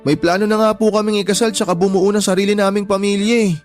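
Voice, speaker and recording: A man's voice: high-pitched, little pitch movement, quick pace, natural voice. A man playing a humble young shop worker in a radio drama, anxious and respectful, pleading politely in distress. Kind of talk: Tagalog radio drama acting. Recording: background music under the voice.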